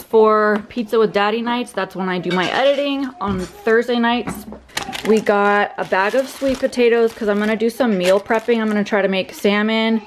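A woman's voice: medium pitch, slight background noise. A young woman chatting casually in her home kitchen while showing off her weekly groceries, upbeat and rambling. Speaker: vlogger. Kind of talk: casual grocery haul chat.

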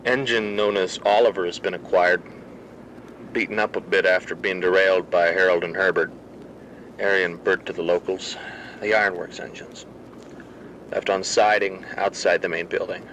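A man's voice: deep voice, level tone, normal speed, a bit bright, slight background noise, poor audio. A man playing a researcher reporting matter-of-factly on experiments in a horror audio log drama. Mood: clinical. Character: male researcher flatly reporting on captured engine experiment. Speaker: narrator of experiment audio logs.

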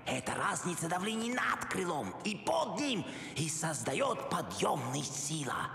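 Accented, gravelly, eccentric penguin inventor. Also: male voice, on the high side, very animated, measured pace, bright, forceful tone, full character voice. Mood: excited explaining. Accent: foreign accent in Russian. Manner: broken grammar, emphatic explaining. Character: kindly eccentric penguin inventor, museum night watchman. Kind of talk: animated film character acting. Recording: echoey hall with music behind.

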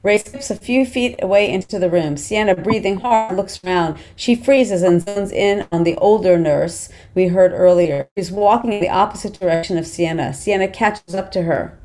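A woman's voice: medium pitch, moderate pace, few pauses, a little mellow. Woman reading screenplay stage directions. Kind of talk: scripted narration at a table read.

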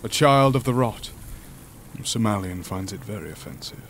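A sentient monster from a sci-fi horror podcast. The creature is low-voiced and solemn, choosing formal words with measured care. There is some faint noise behind it. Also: male voice, expressive. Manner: formal, measured, stilted speech. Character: sentient imprisoned alien monster, gentle, solemn, formal, philosophical. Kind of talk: audio drama acting.

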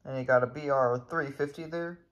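A man's voice: medium pitch, steady tone, a little fast, fairly bright tone, muffled recording. A man giving a casual hands-on tutorial as a video creator, recorded indoors at home on a handheld camera. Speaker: video creator demonstrating radios.

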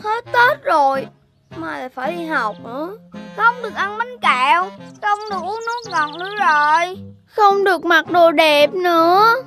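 An actor voicing a childish, whiny little animal boy in a children's cartoon, with drawn-out delivery. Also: very high-pitched, expressive. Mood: glum, whining. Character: cartoon puppy and piglet boys, childish, whiny, eager. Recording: loud music behind.

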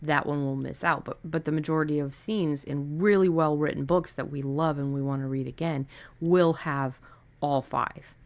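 A woman with a low-pitched voice speaks as a podcast co-host. She explains writing technique in a conversational style. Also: steady tone, normal speed, a little mellow.

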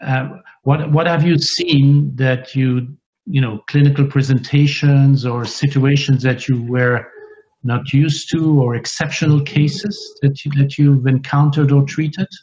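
An older man asks measured, halting questions over a headset on a video call. His voice sits at an everyday pitch, and the room around him gives it a noticeable echo. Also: fairly even intonation, a little mellow. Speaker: interviewer.

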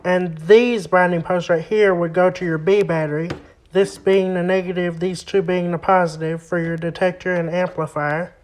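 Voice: mid-range voice, fairly even intonation, normal speed, slight background noise. A hobbyist host giving a hands-on repair demonstration, explaining each step in a plain way. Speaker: vintage radio repair hobbyist and video host.

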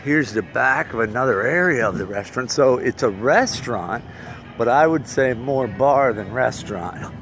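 A man's voice: medium pitch, lively intonation, moderate pace, a bit bright. Man rambling over noisy outdoor phone audio. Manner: rambling, thinking aloud. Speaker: vlogger reviewing local bars. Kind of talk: casual unscripted walk-around tour. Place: outdoors in parking lot and around bar. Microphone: handheld phone at arm's length.

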